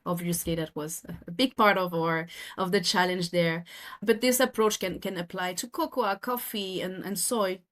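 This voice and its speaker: A woman's voice: on the low side, fairly even intonation, moderate pace, a bit bright. A woman from France with a French accent, in her thirties, earnestly explaining her work as a podcast guest, often restarting her phrases. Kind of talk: podcast interview.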